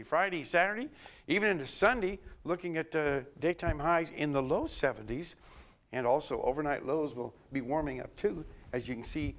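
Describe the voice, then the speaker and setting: A man presenting a local TV weather forecast, hoarse from a cold, with a loose, chatty delivery full of fillers and restarts. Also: a little high. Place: TV studio.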